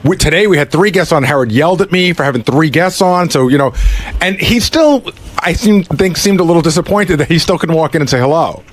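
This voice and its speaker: A man's voice: fairly high pitch, animated, a little fast. A man who produces a radio show, recounting conversations casually and doubling back on his words. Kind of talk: casual anecdotal radio talk.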